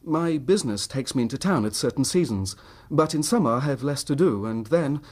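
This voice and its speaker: A man's voice: medium pitch, expressive, fairly quick, a little mellow, natural voice. An actor playing an earnest, troubled middle-class English husband telling his story in formal period language for a radio drama.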